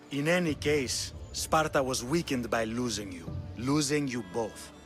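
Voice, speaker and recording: A man's voice: medium pitch, takes it slowly, soft background music, natural voice. A man voicing a courtly, condescending, sly Spartan king in dramatic video game acting. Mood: courtly, wry. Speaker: actor voicing a game character.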